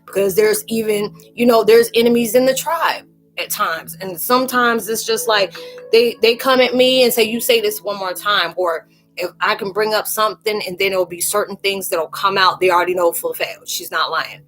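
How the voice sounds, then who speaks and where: A woman hosting a casual spiritual chat in a rambling, animated way. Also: mid-range voice, moderate pace, soft background music, rough recording. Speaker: live stream host.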